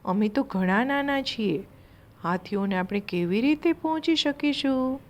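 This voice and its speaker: A woman's voice: a little high, expressive, a little slow, dull audio, voice pushed up. A woman voicing small, timid, deferential ants in a children's story. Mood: timid, agreeing. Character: crowd of small, timid, obedient worker ants.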